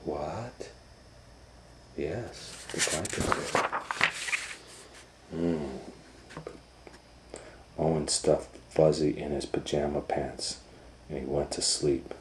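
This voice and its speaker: Storytime reader, middle-aged man, deep and unhurried, whispers then booms, roomy sound. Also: background noise. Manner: animated, swings from hushed whispers to loud excited voices. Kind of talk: reading a picture book aloud.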